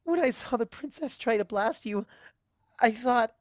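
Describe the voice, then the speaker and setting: An affectionate young female pony, played by a story reader speaks in a raised, high voice. The words come breathless and halting, full of anxious emotion, in a dry storybook recording. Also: expressive, dry recording, natural voice. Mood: relieved, overwhelmed.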